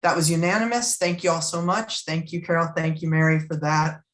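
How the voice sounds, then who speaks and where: A woman's voice: sits low, fairly quick. A woman chairing a public committee meeting, polite and procedural, with many thank-yous.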